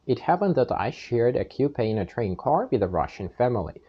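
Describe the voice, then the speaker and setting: A man's voice: medium pitch, sing-song, moderate pace, breathy edge, highs cut off. A young man from Ukraine with a Ukrainian accent, earnestly recounting his own past in a plain-spoken monologue. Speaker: channel host. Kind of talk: personal storytelling monologue.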